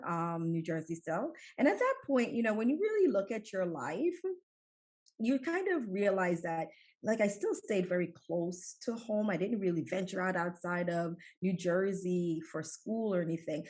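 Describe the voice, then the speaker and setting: A middle-aged woman from Jamaica, a physician guest telling her story in a relaxed, conversational way with frequent fillers. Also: lowish voice, moderate pace. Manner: warm storytelling with laughs and many fillers.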